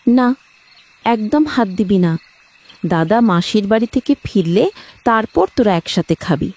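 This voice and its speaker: A woman's voice: medium pitch, expressive, normal speed, darkish tone. Woman acting firm, caring cartoon mother. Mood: firm, motherly. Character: firm, caring village mother of two sons. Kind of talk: Bengali horror cartoon dub.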